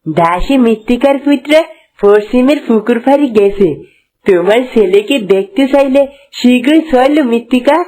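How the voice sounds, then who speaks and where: A woman's voice: a little high, lively intonation, moderate pace, dark tone, natural voice. A woman voicing a cautious, formal palace guard with an urgent manner in a cartoon fairytale. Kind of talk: dramatic cartoon story dialogue. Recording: music behind the voice.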